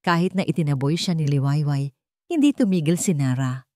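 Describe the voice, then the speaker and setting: A woman's voice: low-pitched, lively intonation, brisk, warm tone, no room sound, studio quality. A woman narrating a scripted story in a dramatic storytelling style.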